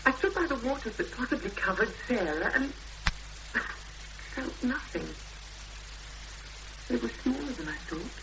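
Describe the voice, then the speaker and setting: An actress playing an anxious, halting young woman searching for her sister in a 1970s radio drama. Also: a little high, expressive, noisy background. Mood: troubled. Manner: halting, emotional, reflective.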